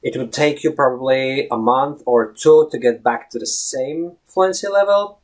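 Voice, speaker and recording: A Latvian-accented man in his thirties talks straight to a webcam in a small room, with a middle-pitched voice. He is chatty and easygoing, often restarting words and stretching some out for emphasis. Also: moderate pace. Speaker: English fluency blogger. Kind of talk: casual video blog advice. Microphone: webcam at head-and-shoulders framing.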